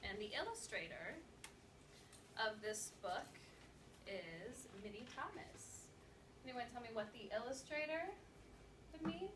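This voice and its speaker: A woman speaking as a teacher, reading aloud and instructing a class with an animated, questioning manner. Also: a little high, measured pace, pauses often. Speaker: teacher demonstrating an interactive read-aloud. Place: indoor classroom. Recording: roomy classroom, distant mic, background noise.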